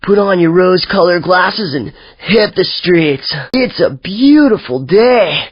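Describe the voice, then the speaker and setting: Mellow dazed hippie stoner for a video game, drawly, dry but dull compressed audio. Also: male voice, sits high, animated, measured pace, dry recording. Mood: spacey, mellow. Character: laid-back spacey hippie stoner, peace-loving sixties throwback. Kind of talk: video game character quips.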